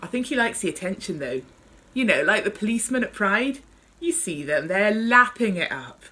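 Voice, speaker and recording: A woman's voice: medium pitch, sing-song, normal speed, bright, forceful tone, slightly rough, voice pushed up, exaggerated voice. A woman reading out the lines of a chatty, gossipy male paramedic in an amused, conversational way from a memoir. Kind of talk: author reading memoir dialogue aloud.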